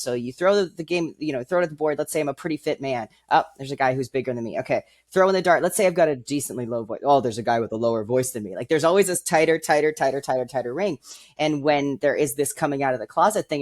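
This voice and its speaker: A man co-hosting a podcast who talks reflectively, thinking aloud with fillers and working through an extended analogy. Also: medium pitch. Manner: thoughtful, uses fillers, builds ideas through analogy. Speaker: podcast co-host. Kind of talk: reflective casual conversation.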